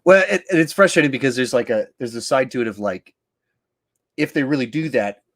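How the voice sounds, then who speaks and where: A man hosting a podcast in casual discussion, acting out his reactions in animated asides. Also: medium pitch, fairly quick. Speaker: podcast host.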